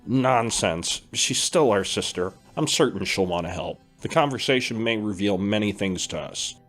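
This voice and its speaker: A man playing a calm, steady older brother who teaches about dreams, with faint music behind. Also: fairly low pitch, normal speed. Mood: warm. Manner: reassuring, steady. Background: faint background music.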